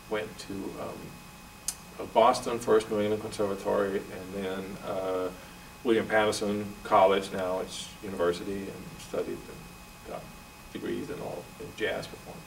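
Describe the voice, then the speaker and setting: A man in his forties from Denmark with a Danish accent, answering interview questions in a relaxed, thoughtful way with filler pauses. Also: on the low side, gravelly, distant mic, a little hiss or hum, low-quality recording. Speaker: guest jazz violinist. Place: office room, indoors.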